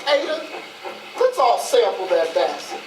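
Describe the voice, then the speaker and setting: A man acting a flirtatious, cajoling man in a stage play, with an animated, sweet-talking manner. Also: sits high, measured pace. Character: flirtatious, cajoling Southern man on a train outing. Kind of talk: readers theatre stage acting. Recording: echoey, distant, noisy ambience.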